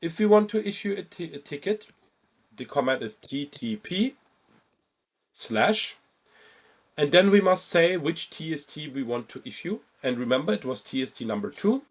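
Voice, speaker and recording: A man giving an informal step-by-step software tutorial as an instructor, halting at times and stressing key points by repeating them. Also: a little high, expressive. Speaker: travel booking software instructor.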